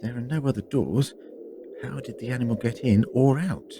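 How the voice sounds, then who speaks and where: A man playing an inquisitive, very tall time traveler in an audio drama, speaking in a deliberate, analytical and dryly theatrical way. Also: mid-range voice, noisy background, natural voice. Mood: pondering, analytical.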